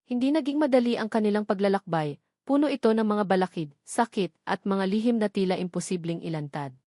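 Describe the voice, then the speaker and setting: A woman's voice: mid-range voice, lively intonation, brisk, mellow, dry recording. Woman narrating a sentimental story, very dry sound. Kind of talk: dramatic scripted audio story narration.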